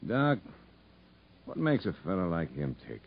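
An unyielding prison camp captain, a man with a low, rough-edged voice that swings forcefully up and down. He is blunt and commanding in the style of 1950s radio drama. The old broadcast sounds muffled. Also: big pitch swings, a little slow, slight background noise, exaggerated voice. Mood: firm, guarded.

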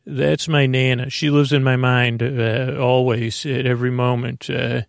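A man's voice: medium pitch, level tone, measured pace, gravelly, dry recording, clean studio sound. A man hosting a bedtime podcast, rambling through a meandering intro and often breaking off mid-thought. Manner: meandering, self-interrupting, stream of consciousness. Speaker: podcast host.

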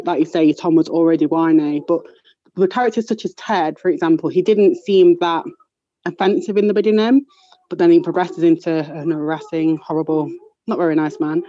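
A woman with a dark, mellow voice asks a polite, measured question. Her voice sounds dull, dry and lo-fi. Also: fairly low pitch, no room sound. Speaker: audience member asking a question.

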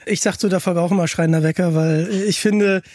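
A man's voice: high voice, fairly even intonation, brisk, a little mellow, dry recording, studio quality. A man hosting a casual fan podcast, chatting in an enthusiastic way. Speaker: podcast host.

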